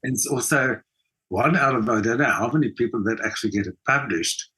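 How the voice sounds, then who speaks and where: Older man, South African accent, casual storyteller, emphatic repetition, mid-pitched, slightly rough voice. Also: a little fast, pushed and bright, poor audio. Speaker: interview guest, writer and performer. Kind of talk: casual reflective storytelling in an interview.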